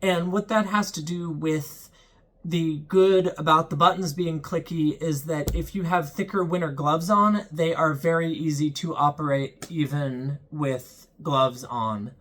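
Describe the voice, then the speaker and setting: A man's voice: on the high side, a little slow, fairly bright tone, a little hiss or hum. Young guy talking thru a gear review, plain and earnest, ums here and there. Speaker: channel host reviewing a weather radio. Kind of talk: casual product review to camera.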